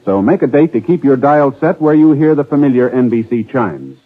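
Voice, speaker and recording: Formal vintage radio announcer. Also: male voice, medium pitch, very animated, brisk. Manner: crisp, formal announcer delivery. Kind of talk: 1950s network announcement. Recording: tinny old radio broadcast with music.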